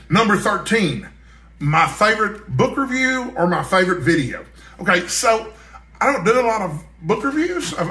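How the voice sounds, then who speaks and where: Bright-voiced man in an echoey room. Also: fairly high pitch. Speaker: book vlogger. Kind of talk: casual chat to camera, answering a list of book questions. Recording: roomy, echoey sound.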